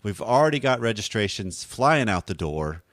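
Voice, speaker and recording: A man with a low, deep and slightly hoarse voice hosts a podcast in an easygoing, rambling way, often stopping to correct himself. The recording is dry and close, with no room sound. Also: big pitch swings, moderate pace, a bit bright, no room sound. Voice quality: deep radio voice. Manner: conversational, rambling, self-correcting. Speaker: podcast host. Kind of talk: casual podcast talk.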